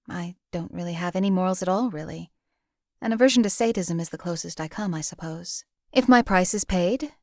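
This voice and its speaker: A woman voicing a cold, detached female assassin in a game, speaking in a measured, formal, matter-of-fact way. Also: fairly low pitch, no echo, studio quality, close to an everyday voice. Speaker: game companion character. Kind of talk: voiced game companion lines.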